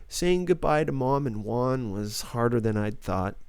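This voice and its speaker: A man's voice: medium pitch, lively intonation, unhurried, darkish tone, clear, resonant tone, dry recording. Man narrating a novel, close dry recording. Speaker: audiobook narrator. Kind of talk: scripted first-person novel narration.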